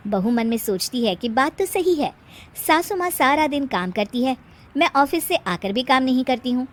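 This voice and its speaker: High-voiced woman narrating a moral story. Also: expressive, normal speed.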